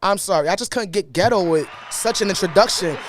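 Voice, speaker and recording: A man with a Brooklyn accent co-hosting a casual talk show, animated and playful, reading a guest bio aloud. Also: sits high, a little fast, a little hiss or hum. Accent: Brooklyn, New York. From New York. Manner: animated, playful, laughs often. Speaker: co-host.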